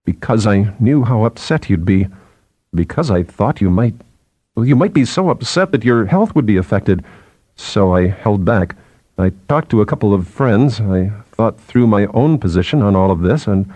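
A man's voice: fairly low pitch, expressive, normal speed, mellow, dry recording. Man playing a nervous, deferential junior scientist. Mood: miserable, hesitant. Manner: chattering, hesitant.

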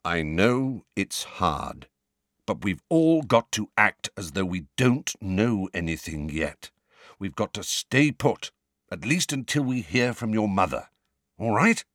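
A man's voice: fairly low pitch, very animated, a little fast, a bit bright, no room sound. A man voicing a tense, impatient grown man in an audiobook, close to his own voice. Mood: forced calm. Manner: clipped, impatient.

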